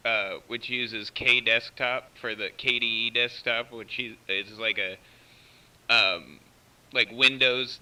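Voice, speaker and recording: A man's voice: lowish voice, pushed and bright, smooth. An American man chatting casually over CB radio, explaining technical things in an informal, step-by-step way. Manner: explanatory, informal, walks through tech details. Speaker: CB radio operator running a live stream. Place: CB radio station, heard through live feed. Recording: distant-sounding CB radio feed.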